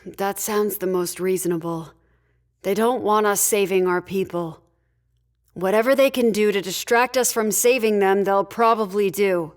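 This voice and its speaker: A woman's voice: mid-range voice, moderate pace, close to an everyday voice. A woman playing a no-nonsense spaceship leader in a sci-fi audio drama, firm, decisive and curt. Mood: firm, impatient.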